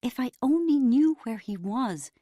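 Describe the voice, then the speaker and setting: A woman's voice: mid-range voice, moderate pace, darkish tone, dry recording, studio quality, lightly acted. A woman voicing a lovelorn, bossy young woman, anguished and urgent, in an audiobook. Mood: whispered agony.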